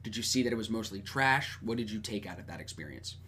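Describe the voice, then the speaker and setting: A man hosting a podcast, talking in a relaxed, friendly way with lots of casual filler words. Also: lowish voice, fast, slight background noise. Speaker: podcast host.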